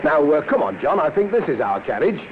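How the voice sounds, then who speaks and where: A man's voice: on the high side, very animated, a little fast, phone-quality sound. A man acting as a genial, self-important colliery clerk in a 1950s radio drama. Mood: genial, proud. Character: genial, proud male colliery clerk carrying wages. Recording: noisy, thin old radio recording.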